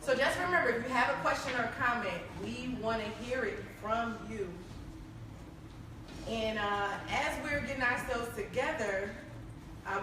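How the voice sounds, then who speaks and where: A woman's voice: medium pitch, background noise. A woman hosting a panel talk show in an upbeat, chatty way with frequent fillers. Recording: echoey room, far from mic.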